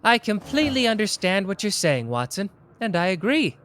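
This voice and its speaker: Casting a performer for a boastful, playful young male sleuth, very animated delivery, soft ASMR roleplay. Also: medium pitch, normal speed. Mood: smug. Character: smug young male genius detective, candy-loving.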